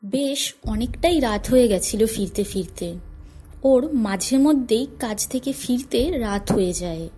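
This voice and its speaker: A woman's voice: on the high side, expressive, a little slow, warm tone, breathy edge. A woman narrator reading a scripted horror story for a cartoon. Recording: music under the voice.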